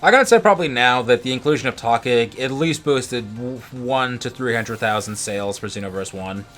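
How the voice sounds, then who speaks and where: A man's voice: medium pitch, expressive, fairly quick, a bit bright. Stammering, rambling man chatting over game music. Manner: rambling, stammering with repeated words. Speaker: gaming video co-host. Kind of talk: casual let's play chat. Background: video game music.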